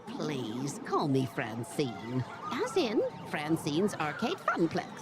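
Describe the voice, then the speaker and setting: A woman's voice: deep voice, very animated, slow, noisy background, full character voice. A woman playing a cheery, bawdy older widow who runs an arcade in a visual novel game, doting and theatrical. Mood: playful. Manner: doting, theatrical, addresses listener as dear. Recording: game music behind.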